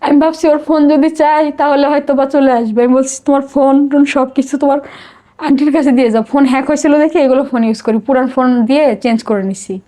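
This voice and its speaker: Bangladeshi woman, middle aged, kinda high voice, talks fast, pours out her life story with lots of feeling. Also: steady tone, dark tone. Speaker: podcast guest telling her life story. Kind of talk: personal confessional interview.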